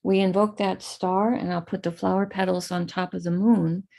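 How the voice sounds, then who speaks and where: An American woman teacher leading a live online prayer ritual over a video call, speaking calmly and instructively between slow chanted invocations. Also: fairly low pitch, vocal fry.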